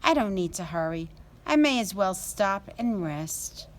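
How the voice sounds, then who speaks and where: A woman's voice: medium pitch, animated, unhurried, lightly acted. A woman voicing a boastful, overconfident male hare in a children's storybook, with a smug, breezy manner.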